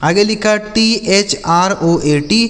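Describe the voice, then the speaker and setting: A man's voice: high voice, slow, fairly bright tone, big-room sound. A man teaching English vocabulary, spelling each word letter by letter and repeating its meaning several times. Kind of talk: slow spelled-out vocabulary lesson.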